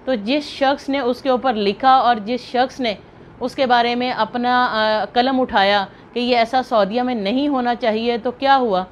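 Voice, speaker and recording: A woman with a Pakistani accent giving earnest, emphatic, unscripted commentary to viewers, often rephrasing as she goes. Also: fairly high pitch. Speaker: video host and commentator. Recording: muffled lo-fi, clear background noise.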